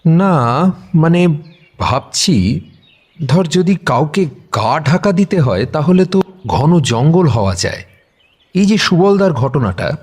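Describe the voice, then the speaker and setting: An actor playing a curious, pensive young amateur sleuth, musing in casual audio-drama dialogue with a husky voice. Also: male voice, a little high, a little mellow. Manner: musing, hesitant. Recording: music bed under voice.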